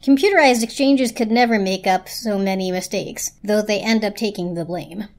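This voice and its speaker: A woman's voice: mid-range voice, moderate pace. A woman reading aloud as a storyteller, in a dramatic storybook narration style. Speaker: story reader.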